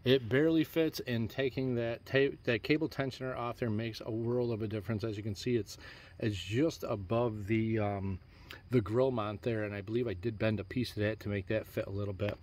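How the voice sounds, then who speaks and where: Rambling low-voiced man filming outdoors. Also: steady tone, brisk, darkish tone. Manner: rambling, many fillers and restarts. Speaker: DIY truck vlogger. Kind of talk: casual how-to walkthrough. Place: outdoors on a lawn beside a truck.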